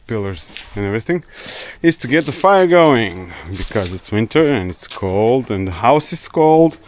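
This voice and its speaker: A man's voice: medium pitch, very animated, moderate pace, a little hiss or hum. A man whose first language is Croatian, speaking English in a casual vlog as he works around a rural summer house. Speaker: vlogger. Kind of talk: casual vlog narration while doing chores. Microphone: action camera.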